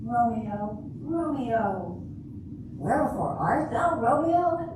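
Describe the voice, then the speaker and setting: A woman's voice: fairly high pitch, big pitch swings, takes it slowly. An older woman playing a disillusioned, wry Cinderella in an amateur stage reading, with a dry, sardonic delivery. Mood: wry, bitter. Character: jaded, wry grown-up Cinderella, disillusioned with romance. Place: echoey room at a retirement community event. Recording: very echoey room, distant mic, hissy noise.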